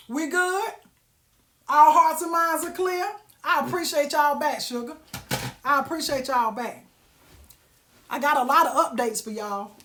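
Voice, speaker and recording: A woman's voice: high-pitched, a bit bright. A woman, giving a folksy, preachy motivational talk on a live stream and asking her audience questions. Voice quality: raspy. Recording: roomy echo.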